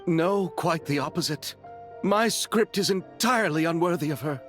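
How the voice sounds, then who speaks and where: A man's voice: a little high, normal speed, fairly bright tone, natural voice. A man voicing a flamboyant, dreamy film producer in a game, speaking in theatrical, florid phrases. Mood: effusive. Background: music.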